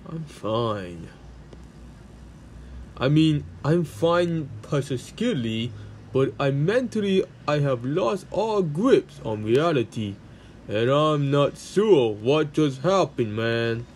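Man playing baffled, grumpy bomb-headed creature for comic dub, casual halting delivery, very unhurried, faint background noise. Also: a little high, very animated, a little mellow. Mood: uneasy. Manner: halting, casual, tacks "man" onto sentences.

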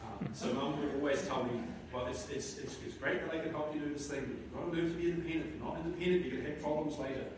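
A middle-aged man giving a eulogy for his mother in a large church, speaking in a halting way with many restarts. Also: fairly high pitch, fairly even intonation, background noise. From New Zealand. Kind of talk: eulogy at a memorial service. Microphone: far camera in the pews. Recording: echoey church from far off.

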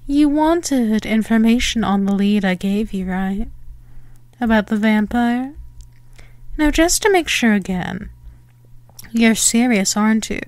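Actress for possessive female vampire, ASMR audio drama. Lightly high voice, very slow, condescending and teasing delivery. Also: no echo. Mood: coy, probing. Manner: mocking, condescending, drawn-out delivery. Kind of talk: slow ASMR roleplay monologue.

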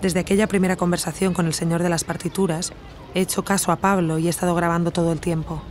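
An actress with a Spanish accent from Spain playing an earnest, reflective woman investigator who narrates her audio documentary in a measured, intimate way. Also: fairly low pitch, little pitch movement, darkish tone, faint music behind, close to an everyday voice. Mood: reflective, absorbed. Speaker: podcast narrator and protagonist. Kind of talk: intimate scripted narration and natural dialogue in an audio drama.

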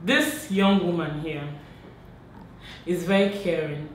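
A woman's voice: fairly low pitch, slow pace, distant mic, slight background noise. A young woman in her twenties from Nigeria, joking playfully about her older sister in a casual chat at home. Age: 20s. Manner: teasing, playful. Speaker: guest, host's younger sister. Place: bright home room, indoors. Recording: echoey room, voice a bit off mic.

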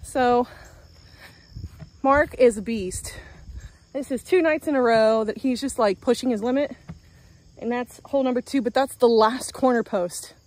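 A woman's voice: a little high, unhurried, pauses often, a little mellow. A young woman chatting casually to her phone camera outdoors in a backyard garden, upbeat while she works. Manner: chatty and upbeat, talking while doing yard work. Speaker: homestead vlogger. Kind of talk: casual vlog talk to a handheld camera. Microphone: handheld phone at arm's length.

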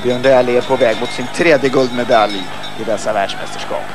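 A man with a Swedish accent giving live commentary on a ski relay in a measured way that builds to excited calls. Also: mid-range voice, over music. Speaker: sports commentator.

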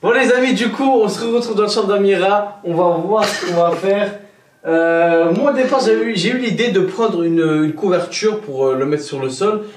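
A young man vlogging casually at home, excitable and chatty, talking straight to his viewers and laughing often. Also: high-pitched, normal speed, a little hiss or hum. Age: young adult. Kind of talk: casual vlog to camera. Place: indoor bedroom at home. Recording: roomy echo, voice from off-mic.

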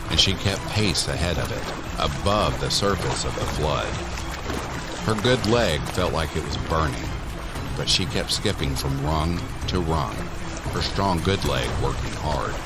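A man narrating a scripted horror story in a deliberate, measured manner. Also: very deep voice.

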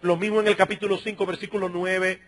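A middle-aged man delivers a sermon in a high, forceful voice with a raspy, husky grain. He speaks emphatically as a pastor, putting questions to his listeners and addressing them directly. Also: little pitch movement, fast talker. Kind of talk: sermon, expository preaching to a congregation.

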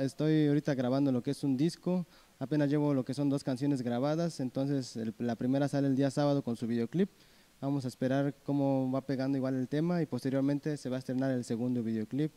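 A man in his twenties, a singer-songwriter answering interview questions in a relaxed way with many fillers, on a handheld mic in a small room. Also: mid-range voice. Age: 20s.

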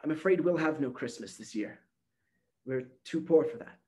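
A man reading a storybook aloud, voicing a tired, gentle old grandmother who speaks softly and sadly. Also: mid-range voice, animated, lightly acted. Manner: soft, slow, sighing and sad.

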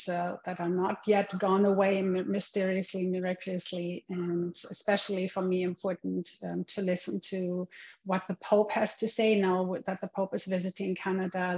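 A woman's voice: medium pitch, gravelly. A middle-aged woman from Germany with a German accent, giving a chatty, loosely worded webinar lecture over a video call, with many restarts and fillers. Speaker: guest lecturer. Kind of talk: webinar presentation. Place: home room. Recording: slightly dull video-call audio.

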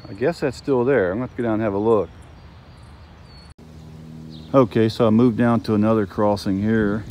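A man's voice: lowish voice, darkish tone. Middle-aged man, casual railfan chatter, phone mic outdoors, faint traffic behind. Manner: chatty, points things out to viewers. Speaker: railfan vlogger. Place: outdoors by railroad tracks. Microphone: phone camera held by the speaker.

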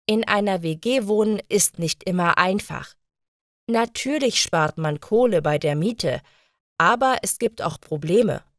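A woman voices a young female student in a learners' audio story. She speaks slowly in a slightly low voice, enunciating carefully, and the recording is dry. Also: no echo. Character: 24-year-old female student introducing her shared-flat life. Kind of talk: scripted first-person monologue for learners.

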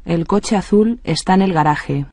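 A mid-pitched voice reads short phrases as lesson prompts. The delivery stays even and neutral from line to line. The sound is dry and close. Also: moderate pace, no room sound. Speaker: Spanish phrase reader. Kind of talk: read-aloud language drill.

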